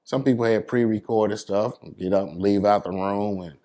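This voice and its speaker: Low-voiced American man, casual and colloquial. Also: steady tone, normal speed, dark tone. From New York City, USA. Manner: colloquial, peppered with "you know what I'm saying". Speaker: DJ, interview guest. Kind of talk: casual interview talk.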